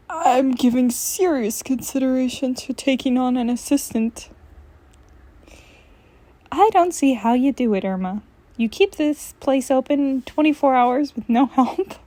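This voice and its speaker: Woman, storybook reader, higher pitch, expressive comic read-aloud, dry close sound. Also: unhurried, long pauses, dry recording.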